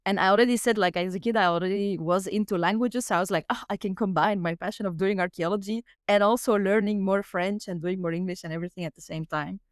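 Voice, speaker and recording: A young woman from Belgium with a Flemish accent and Dutch as her first language, chatting as a podcast guest and often pausing with fillers. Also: medium pitch, no echo, clean studio sound. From Belgium (Flanders). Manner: chatty, with many fillers and hesitations. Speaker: guest, doctoral researcher. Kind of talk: casual conversational interview.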